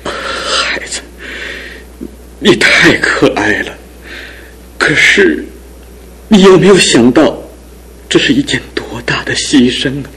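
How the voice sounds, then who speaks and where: A kindly older father torn by worry in a studio radio play. He speaks very slowly, his pitch rising and falling expressively as he pleads in a gentle, fatherly tone. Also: expressive, husky, exaggerated voice, rough recording. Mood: concerned. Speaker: fiancé's father. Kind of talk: studio radio drama acting.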